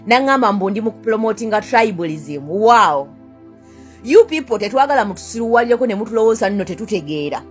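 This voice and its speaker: A woman's voice: mid-range voice, animated, quick pace, soft background music. A young Ugandan woman with a Ugandan accent in a heated rant to camera. She keeps firing the same rhetorical questions, swears at her critics and switches between two languages. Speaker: vlogger.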